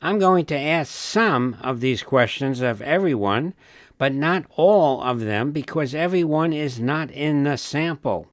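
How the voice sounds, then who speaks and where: A man's voice: fairly high pitch, expressive, a little slow, no room sound, pitched above the performer's own voice. A man playing a courteous, patient census enumerator, explaining formally in a scripted training-film role play. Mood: polite, earnest.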